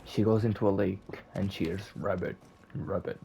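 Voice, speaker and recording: A woman with a very low, mellow voice tells an improvised comic story. She goes slowly in short deadpan phrases, over faint noise and clicks. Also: creaky.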